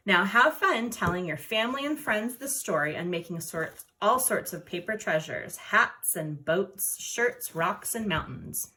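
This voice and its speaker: A woman's voice: mid-range voice, animated, unhurried, hardly any pauses, sharp tone, poor audio. A woman giving step-by-step craft instructions for children in a playful, informal way. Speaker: origami instructor.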